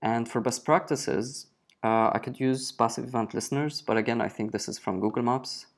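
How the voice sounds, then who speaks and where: A man in his thirties talking through a software tool in a relaxed screencast, with frequent fillers as he explains. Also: medium pitch, moderate pace. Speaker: web developer presenting a screencast. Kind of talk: casual screencast walkthrough.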